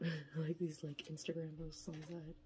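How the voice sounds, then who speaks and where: A woman's voice: low-pitched, flat delivery, normal speed, dark tone. A woman with a stuffy nose from a cold, chatting casually and a bit tiredly while unboxing at a table. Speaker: unboxing vlogger. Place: indoors at a table, filming hands from above.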